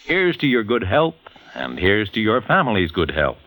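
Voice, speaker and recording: A man's voice: fairly low pitch, huge pitch swings, moderate pace, a bit bright, rough recording. A man hosting a 1940s radio show, narrating from a script in a dramatic, emphatic way.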